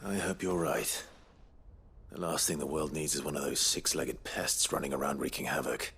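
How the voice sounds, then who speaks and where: Low-voiced brooding male game hero. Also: vocal fry, fairly bright tone, slightly rough, heavily acted. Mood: dry, wary. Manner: brooding, dry, measured. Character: grim, weary male leader haunted by power. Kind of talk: dramatic video game cutscene acting.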